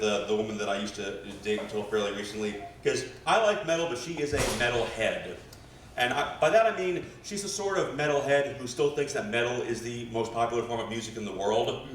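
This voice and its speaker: An American man performing stand-up comedy at an open mic, with a hesitant, rambling delivery full of trailing asides. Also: medium pitch. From West Virginia family, United States. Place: small live comedy venue. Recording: echoey room, distant mic, faint noise.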